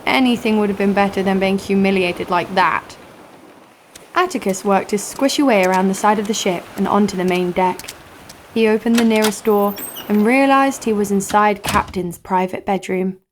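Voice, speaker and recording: A woman's voice: mid-range voice, moderate pace, slight background noise, natural voice. An actress playing a bold, sarcastic young female adventurer who tells her own tale in an audio drama. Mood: wry storytelling. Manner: sarcastic, dry. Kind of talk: first-person audio drama narration. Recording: music under the voice. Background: instrumental music.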